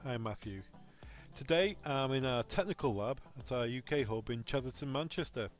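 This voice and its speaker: A man's voice: medium pitch, lively intonation, normal speed. A man works as a technical trainer, talking through a tutorial step by step. His delivery is plain and informal, and music plays with his voice. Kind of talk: software tutorial walkthrough.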